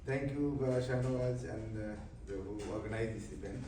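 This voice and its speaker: A man's voice: on the low side, normal speed, slight background noise. Proud man addressing a community hall. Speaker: community speaker. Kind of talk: speech to a community gathering. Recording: echoey hall from far off, loud chatter.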